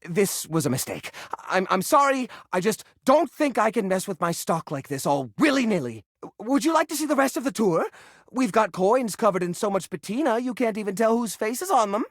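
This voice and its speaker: A man's voice: high-pitched, sing-song, brisk, no room sound, clean studio sound. A man playing a neurotic, possessive hoarder, a junk drawer come to life in a video game, with a theatrical, whiny, defensive delivery. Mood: pompous, fussy. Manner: theatrical, whiny, defensive, stammering when upset. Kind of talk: video game character voice acting.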